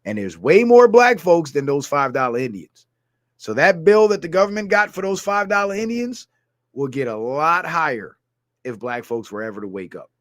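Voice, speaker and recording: A man's voice: a little high, animated, normal speed, dry recording, studio quality. A man from Guyana ranting through a political commentary livestream, heated and blunt, reading viewer comments aloud. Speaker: streamer.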